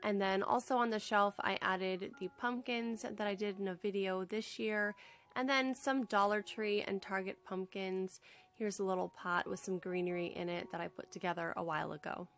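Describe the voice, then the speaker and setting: A woman's voice: medium pitch, steady tone, normal speed, fairly bright tone, soft background music. A young woman with a head cold, chatting in a friendly, upbeat way as she narrates a casual home decor how-to vlog from home.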